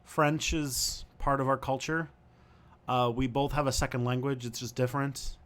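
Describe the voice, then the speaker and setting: A man's voice: medium pitch, fairly even intonation, normal speed, a bit bright. A young man from Canada hosting a livestream, answering viewer questions casually and thinking aloud with lots of ums and restarts.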